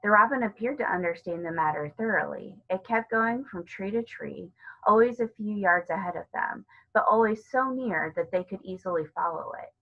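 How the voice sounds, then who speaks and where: A woman's voice: medium pitch, phone-quality sound. A woman narrating a children's novel as a storybook reader.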